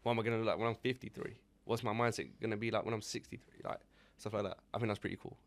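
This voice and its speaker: A man's voice: fairly low pitch, fairly even intonation, very fast. A man in his twenties, co-hosting a podcast, giving earnest reflective advice in casual chat. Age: 20s. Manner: reflective, earnest, fillers like "you know". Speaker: podcast co-host.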